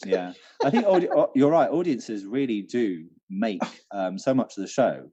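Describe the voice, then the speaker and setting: A man's voice: on the low side, expressive, moderate pace, warm tone, breathy edge. A man co-hosting a casual chat in a hesitant, agreeable way. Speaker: co-host, venue manager.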